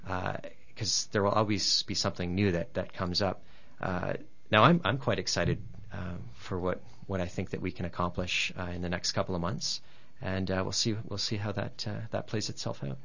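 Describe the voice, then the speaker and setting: A man's voice: sits low, gravelly, a bit bright. A man, a guest on a radio interview, talking hesitantly with many restarts and fillers. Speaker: guest, startup founder. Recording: dull lo-fi radio recording.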